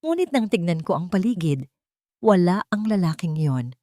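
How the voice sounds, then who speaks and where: A woman narrates a dramatic story in a fairly low register. She reads scripted first-person prose as a storyteller, and the sound is very dry, with hardly any room around it. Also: big pitch swings, moderate pace, warm tone, dry recording.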